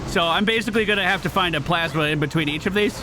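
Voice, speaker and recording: A man giving casual, animated live commentary as a gaming host, exclamatory and swearing freely. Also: high voice, fast. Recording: game music behind.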